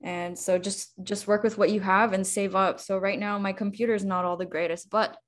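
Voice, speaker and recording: A young woman artist guesting on a podcast, chatty and animated, peppering her advice with fillers. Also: mid-range voice, fairly quick. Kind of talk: casual interview chat.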